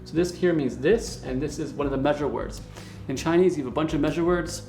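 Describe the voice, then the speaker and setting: A man teaching a beginner Mandarin lesson at a whiteboard, upbeat and encouraging, often checking in with "okay?" and slowly repeating words for learners. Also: on the high side, dark tone, faint music behind.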